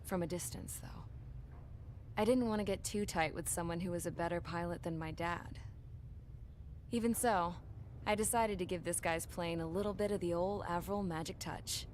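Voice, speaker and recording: A woman's voice: fairly even intonation, moderate pace, natural voice. A woman playing a wry, skeptical young female aircraft mechanic, narrating a video game story in a matter-of-fact way. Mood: wry, resolved. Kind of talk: scripted first-person narration and game dialogue. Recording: loud rumbling background noise.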